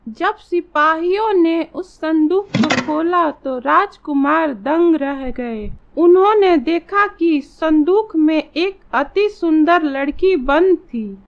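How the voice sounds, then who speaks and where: Woman, high voice, slow measured children's story narration, slightly dull recording. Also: expressive.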